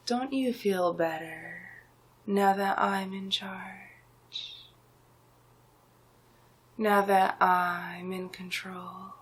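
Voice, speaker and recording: A woman voicing a scheming, smug male sorcerer villain in an ASMR roleplay, breathy and often whispering, with a condescending, commanding manner. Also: medium pitch, fairly even intonation. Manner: slow, deliberate, commanding, condescending.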